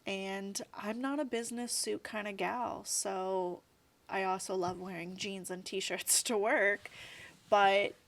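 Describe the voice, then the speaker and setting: Thirties woman, interview guest, mid-pitched, rambling and chatty. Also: steady tone, slow pace, vocal fry, fairly bright tone. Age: 30s. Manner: chatty, rambling, fills with "like" and "you know". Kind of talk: casual interview chat.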